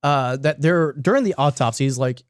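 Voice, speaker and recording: A man's voice: mid-range voice, normal speed, noisy background. A man hosting a podcast, retelling a story casually with plenty of slang and swearing, acting out the dialogue. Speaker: podcast host retelling a horror series. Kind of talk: casual comedic retelling with loose acted-out dialogue.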